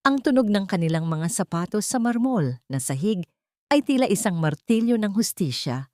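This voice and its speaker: A woman's voice: on the low side, darkish tone, no echo, clean studio sound. A woman with a Filipino accent reading a dramatic scripted story as an audiobook narrator.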